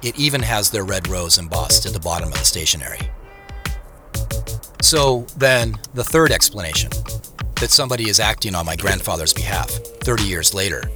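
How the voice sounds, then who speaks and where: A man's voice: low voice, animated, moderate pace, music in the background. A man playing an obsessive professional puzzling over a mystery, narrating in a confiding, conversational way as if reasoning aloud. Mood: intrigued, uneasy. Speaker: narrator and lead character. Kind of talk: first-person audio drama narration, conversational.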